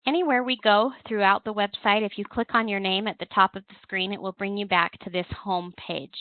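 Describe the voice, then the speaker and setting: A woman's voice: mid-range voice, fairly even intonation, a little fast, dry recording. Woman presenting over a thin phone line. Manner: explanatory, step by step. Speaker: presenter, compliance software trainer. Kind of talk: instructional webinar walkthrough, semi-scripted. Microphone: telephone conference call.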